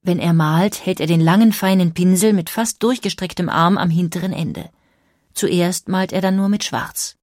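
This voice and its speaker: A woman narrating a scripted biographical documentary in a measured, precise way. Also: lowish voice, darkish tone, dry recording, clean studio sound.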